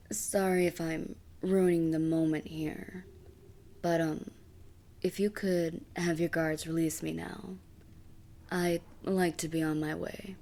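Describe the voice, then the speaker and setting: A woman's voice: low-pitched, level tone, slow pace, vocal fry, pauses often, dark tone, exaggerated voice. A woman playing a sly, cocky assassin for hire in a medieval fantasy audio roleplay, with a taunting, self-serving manner. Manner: taunting, drawling, smug until pleading.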